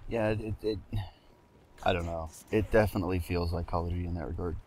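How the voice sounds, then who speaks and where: A man with a low-pitched voice co-commentates on a video game. His delivery is casual and conversational, with a wry edge to his remarks. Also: fairly even intonation, a little fast, darkish tone, slight background noise. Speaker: let's play co-commentator. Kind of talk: casual gameplay chat.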